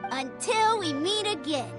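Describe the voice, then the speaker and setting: Game kid role: friendly, guileless young boy, high-pitched and artless. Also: lively intonation, unhurried, music in the background. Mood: cheerful. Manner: artless, childlike, earnest. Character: innocent, friendly young boy, simple and trusting. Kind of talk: video game character dialogue.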